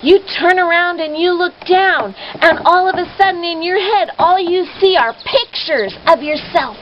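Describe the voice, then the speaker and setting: A woman's voice: very high voice, moderate pace, pitched above the performer's own voice. A woman voicing a taunting male bully in a storybook tale, sneering and exaggerated. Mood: menacing taunt. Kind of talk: children's storybook character voice. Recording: dull noisy lo-fi home recording.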